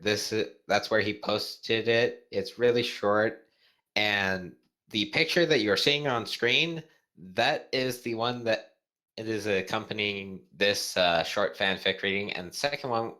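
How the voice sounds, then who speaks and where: Deep-voiced, slow, halting man. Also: a bit bright. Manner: halting, stumbling over words. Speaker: co-host reading fan fiction aloud. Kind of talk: casual banter and amateur reading aloud.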